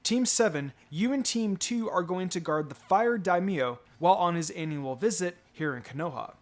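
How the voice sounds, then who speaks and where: A man's voice: high voice, lively intonation, normal speed. A man voicing a firm, commanding male village leader in a fan fiction reading.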